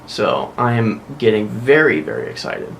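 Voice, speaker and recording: A man's voice: fairly low pitch, very animated, moderate pace, creaky, fairly bright tone, a little hiss or hum, low-quality recording. Chatty young man rambling through updates. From United States. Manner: chatty and rambling, frequent self-corrections. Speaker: vlog host. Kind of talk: casual weekly vlog update.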